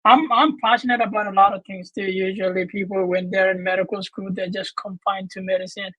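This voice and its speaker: A man, answering as a guest on a video call in an earnest, halting way. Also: sits high, level tone, fairly bright tone, dry recording, poor audio. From Ethiopia. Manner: earnest, with frequent restarts and fillers. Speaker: guest, medical student. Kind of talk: casual interview answers.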